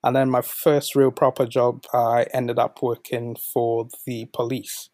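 Guy late 20s chatting as interview guest, pretty monotone, mid pitch, dry audio. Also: unhurried, no echo. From born in Zambia, moved to the UK at twelve. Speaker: podcast guest, volunteer manager. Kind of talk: conversational interview answers.

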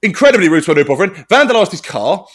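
A man's voice: high voice, sing-song, rapid-fire, a bit bright. A man in casual comedy chat, sweary and rambling, laughing often and restarting his sentences.

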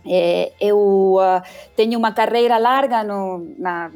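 A middle-aged woman with an Argentine accent, a Spanish speaker talking as a podcast guest, pausing often with fillers and restarts. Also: medium pitch, darkish tone, soft background music. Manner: hesitant, many fillers and restarts. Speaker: guest brand consultant. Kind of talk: podcast interview.

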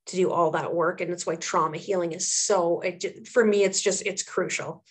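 A woman's voice: on the low side, fairly even intonation, moderate pace. Reflective, earnest woman explaining her work. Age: 30s or older. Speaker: trauma therapist and social worker, interview guest. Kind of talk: conversational interview answers.

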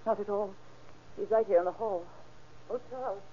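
An actress playing an anxious, loyal aunt in an old-time radio crime drama. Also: female voice, mid-range voice, steady tone, moderate pace, mellow, a little hiss or hum, close to an everyday voice. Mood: earnest, protective. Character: anxious, loyal aunt defending her nephew. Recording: muffled, band-limited vintage radio recording.

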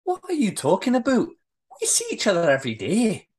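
A woman's voice: medium pitch, huge pitch swings, fairly quick, darkish tone, breathy edge, pitched above the performer's own voice. A woman playing a feisty, indignant Scottish woman in acted drama dialogue, with blunt, colloquial delivery. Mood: dismissive. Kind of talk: amateur script read-through, acted dialogue.

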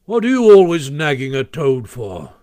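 Man voicing a gruff, peevish, commanding badger. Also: on the high side, normal speed, lightly acted. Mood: peevish, insistent. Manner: emphatic, insistent, rustic grammar.

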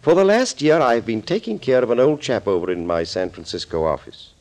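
An actor playing a suave, gloating villain doctor in an old radio thriller, urbane, theatrical and mockingly courteous. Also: male voice, medium pitch, expressive, a little fast, a little mellow, natural voice. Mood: smug, explaining. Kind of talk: 1940s radio acting. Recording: old scratchy 1940s radio recording.

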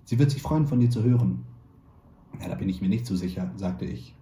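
Calm man reading a story in a roomy space. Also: sits low. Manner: calm, measured storytelling with lightly voiced dialogue. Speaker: actor reading a short story. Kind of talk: literary reading.

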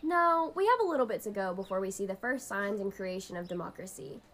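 A woman's voice: mid-range voice, animated, brisk, fairly bright tone, close to an everyday voice. A woman plays a young woman in an amateur radio play, chatting with a friend over lunch. She speaks in a patient, explanatory way, as if teaching through scripted dialogue.

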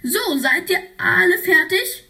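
A woman voicing a brisk schoolteacher who runs a class election in a storybook tale. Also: very high voice, lively intonation, gravelly, bright, forceful tone, voice pushed up, exaggerated voice. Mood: cheerful. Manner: brisk, matter-of-fact.

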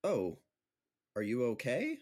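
A man's voice: medium pitch, huge pitch swings, slow, darkish tone, full character voice. A man playing an upbeat, chatty friend and amateur comic in an audio drama, with a playful, teasing manner. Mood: concerned.

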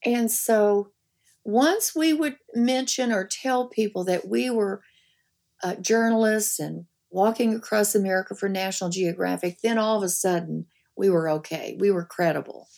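An older woman with a gravelly, fry-tinged voice tells folksy stories as an interview guest. She talks in an animated, down-to-earth way at a medium pitch. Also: normal speed. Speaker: author and guest. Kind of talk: storytelling in conversation.

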